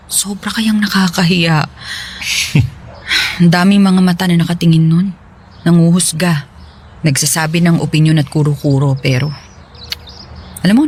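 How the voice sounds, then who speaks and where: A woman playing a gentle, forgiving friend in a radio drama, talking in a casual, reassuring way. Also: sits low, a little hiss or hum. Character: gentle, forgiving female friend, once publicly shamed. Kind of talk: Filipino radio drama dialogue.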